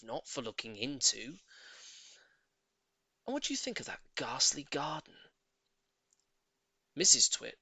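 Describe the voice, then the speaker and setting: A man narrating a children's audiobook in an expressive, theatrical and emphatic storytelling manner. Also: medium pitch, creaky, dry recording.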